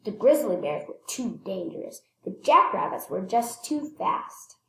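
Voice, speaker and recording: A woman reading a children's picture book aloud expressively, stressing words and pausing to point things out. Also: mid-range voice, very animated, creaky, fairly bright tone, roomy sound, a little hiss or hum, low-quality recording. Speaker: read-aloud storyteller.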